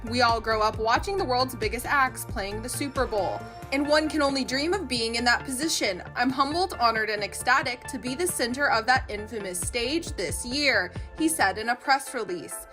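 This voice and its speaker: Female news narrator, high voice, scripted entertainment report, music underneath. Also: normal speed, hardly any pauses. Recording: music bed under voice-over.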